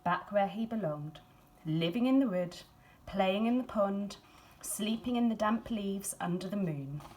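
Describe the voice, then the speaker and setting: A woman reads a children's storybook aloud expressively, in a measured storytelling manner with dramatic emphasis and hushed phrases. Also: mid-range voice, lively intonation, slight background noise. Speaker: school staff member reading a picture book aloud.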